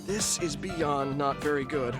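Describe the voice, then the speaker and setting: A man playing an earnest, steady young soldier in a video game, speaking in a measured, kindly way. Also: a little high, lightly acted. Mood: exasperated. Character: earnest young male soldier, kind, steady, easily exasperated. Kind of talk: English video game voice acting. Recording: music behind the voice.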